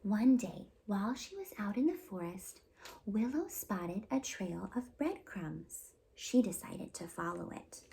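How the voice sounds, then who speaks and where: A woman hosting storytime, reading a children's picture book aloud in an expressive, deliberate storytelling delivery. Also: medium pitch, gravelly, poor audio. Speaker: storytime host and reader.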